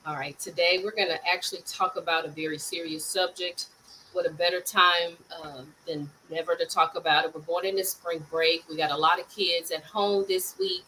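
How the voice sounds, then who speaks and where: A woman who is an educator, hosting a talk show and opening with a relaxed, conversational introduction. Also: on the low side, normal speed, a little hiss or hum, rough recording. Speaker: host, educator.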